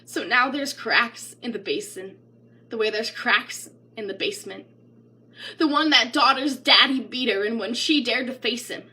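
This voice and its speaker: A woman's voice: fairly high pitch, moderate pace. A woman giving a dramatic, emotionally intense spoken-word poetry reading. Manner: intense, emotional, theatrical, swinging between hushed and crying out. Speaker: poetry reader.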